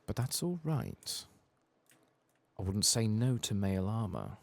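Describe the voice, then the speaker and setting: A soft-spoken man doing casual, muttered ASMR commentary as he plays through a video game. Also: lowish voice, big pitch swings, slow pace, vocal fry. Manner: casual muttering, swearing mildly. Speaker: ASMR gaming channel host.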